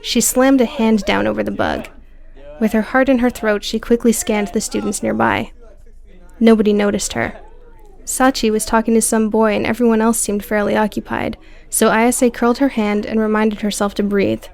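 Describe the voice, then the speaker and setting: Creaky-voiced woman narrating fiction. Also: fairly high pitch, flat delivery, moderate pace, darkish tone. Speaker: audiobook narrator. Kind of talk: scripted dramatic narration of a prose story.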